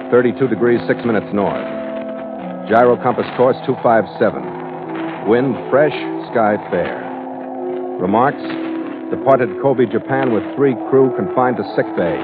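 A man's voice: mid-range voice, natural voice. A man playing a world-weary, hard-boiled sea captain who narrates his log tersely in a 1940s radio drama style. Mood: terse, formal. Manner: terse, clipped, dramatic delivery. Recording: tinny old radio recording with music.

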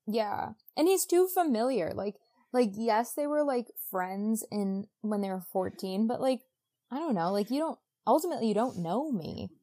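A woman's voice: fairly high pitch, animated, normal speed, mellow. A woman co-hosting a podcast, recapping a book casually and acting out dialogue in informal modern slang. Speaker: podcast co-host.

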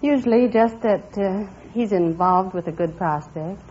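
A woman's voice: medium pitch, a little slow. A woman answering briefly as a contestant on an old radio quiz show. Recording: muffled, noisy old radio with loud audience.

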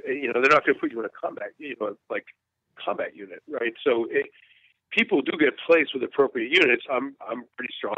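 A man's voice: mid-range voice, dry recording. A man in his fifties from the United States, a war journalist telling his story in an interview, frank and earnest, often restarting his sentences. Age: 50s. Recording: tinny phone-line sound, dry.

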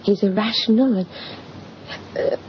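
A woman's voice: mid-range voice, measured pace, lightly acted. An actress playing an anxious, genteel wife and mother in an old radio drama, fretful and hesitant about her husband. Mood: worried. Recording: muffled, noisy old radio drama recording.

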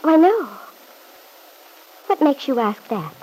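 A woman's voice: high-pitched, big pitch swings, slow pace, warm tone, exaggerated voice. An actress playing an emotional, suspicious young woman, dramatic and hesitant, in an old-time radio serial. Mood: anxious suspicion. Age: young adult. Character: emotional, suspicious, self-absorbed young woman, former touring performer. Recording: faintly noisy old radio recording.

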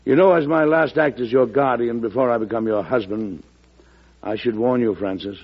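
An actor playing an older president, a tender and hesitant bridegroom, in 1940s radio drama style with halting, gentle delivery. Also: male voice, mid-range voice, slightly rough, natural voice. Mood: wry affection. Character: older president, tender, hesitant groom marrying his young ward. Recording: muffled old radio broadcast.

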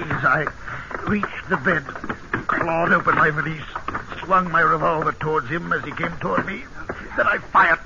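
An actor playing a guarded army colonel in a vintage radio drama, with clipped, formal, halting speech on an old broadcast recording. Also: male voice, on the high side, gravelly, muffled recording, heavy background noise. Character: British army colonel, guarded, professing remorse over killing a friend. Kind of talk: 1940s-50s radio drama acting with narration.

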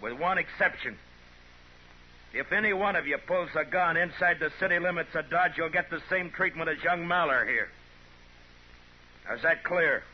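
An actor playing a stern, steady Western town marshal in radio drama, dry, terse and commanding, on an old recording. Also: male voice, fairly high pitch, normal speed, pauses often, lightly acted. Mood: loud warning. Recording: noisy, muffled 1950s radio recording.

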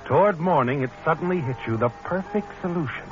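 A man's voice: fairly high pitch, animated, a little fast, natural voice. A knowing, ominous storyteller acted by a man from an old radio thriller. The delivery swings dramatically and insinuates. Music plays beneath the dull, aged recording. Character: knowing, ominous male narrator addressing the schemer. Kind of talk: vintage radio suspense narration in second person.

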